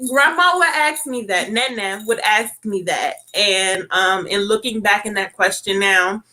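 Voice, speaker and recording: Bright-voiced woman hosting a talk show. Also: medium pitch, unhurried, rough recording. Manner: chatty, with many fillers and tag questions. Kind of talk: casual chat.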